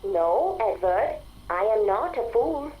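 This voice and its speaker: An actress voicing a calm, weary, coolly defiant female artificial intelligence in a video game audio log, with a measured, detached delivery. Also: medium pitch. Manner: deliberate, detached. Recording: dull lo-fi audio log, faint noise.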